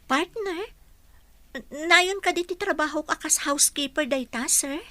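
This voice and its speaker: An actress playing a young, spirited but wary housekeeper in an Ilocano radio comedy-drama. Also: female voice, high voice, unhurried, sharp tone, no room sound. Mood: surprised, eager.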